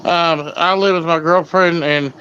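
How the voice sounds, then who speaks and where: A man's voice: fairly high pitch, steady tone, measured pace, sharp tone, dull audio, slight background noise. A man in his forties from Kentucky, chatting casually as a guest on a video call and asking lots of questions. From Kentucky, USA. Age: 40s. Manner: loose and chatty, asks questions. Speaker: livestream guest. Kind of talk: casual chat.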